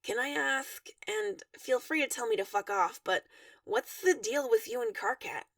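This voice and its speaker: A woman's voice: high voice, very animated, moderate pace, vocal fry, fairly bright tone, no echo, distant mic, heavily acted. A woman voicing a wry, blunt, slightly awkward young troll in a story read aloud. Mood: curious.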